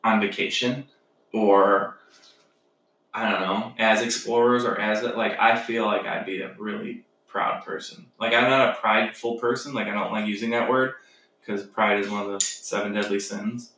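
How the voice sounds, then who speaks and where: A man's voice: lowish voice, fairly even intonation, moderate pace, bright, forceful tone, distant mic. A young man from the United States in casual chat as a podcast co-host, rambling and musing with lots of filler words. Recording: roomy sound, set back from the mic.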